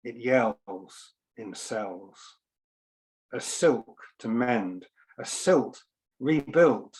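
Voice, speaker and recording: A man with a mid-pitched voice and a slow pace, speaking as a poet at an online literary event. His delivery is measured and deliberate, broken into short phrases with frequent pauses. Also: lively intonation, darkish tone, low-quality recording. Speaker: poet and co-author at a book launch. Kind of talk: informal explanation and poetry reading. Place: online event, on a video call.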